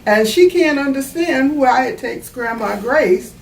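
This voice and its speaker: Slow, roomy-sounding woman reading a storybook. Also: high voice, expressive, gravelly. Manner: deliberate, explanatory, teacherly. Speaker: author reading own book. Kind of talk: children's storybook read-aloud with explanations. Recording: roomy, distant mic, faint background noise.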